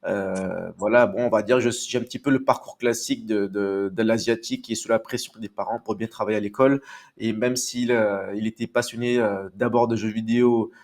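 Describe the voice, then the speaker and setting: Lower-pitched man, measured interview guest. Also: fairly even intonation. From Marseille, France. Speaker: podcast guest. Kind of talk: casual autobiographical interview answers.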